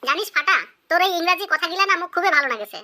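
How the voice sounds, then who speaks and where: A woman's voice: very high voice, very fast, pushed and bright, heavily acted. A woman voicing a young female cartoon character in a comic, exaggerated, sing-song way. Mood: curious, coy. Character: young female: doting mother or shy village schoolgirl.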